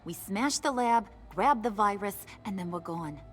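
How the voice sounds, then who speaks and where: A woman's voice: mid-range voice, lively intonation, normal speed, faint music behind, close to an everyday voice. A woman playing a brash, mocking gang leader in a video game, bossy and playfully menacing, with a taunting sing-song delivery. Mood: commanding.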